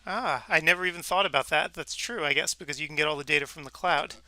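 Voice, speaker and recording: A man's voice: fairly high pitch, fast talker, bright, forceful tone. A man hosting a casual, conversational interview. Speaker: interviewer, host.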